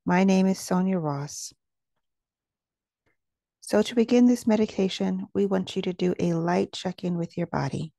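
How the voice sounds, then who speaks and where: A woman leading a guided meditation, speaking in a slow, soothing and measured way as she gives gentle instructions. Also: mid-range voice, gravelly, no room sound, clean studio sound.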